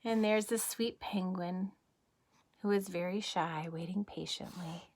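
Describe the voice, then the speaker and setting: A woman reading a picture book aloud as a kindergarten teacher, gently and expressively, with questions to the listeners. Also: fairly low pitch, a little slow.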